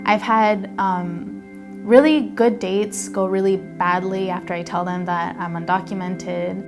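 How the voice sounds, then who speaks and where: A woman's voice: fairly low pitch, fairly even intonation, unhurried, over music. A young woman from Mexico speaking candidly in a documentary interview. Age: young adult. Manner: candid, casual speech.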